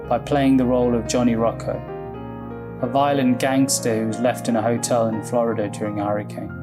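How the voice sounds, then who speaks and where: A man hosting a podcast, reading scripted narration in a measured, formal way. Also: medium pitch. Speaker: podcast host. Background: prominent guitar music.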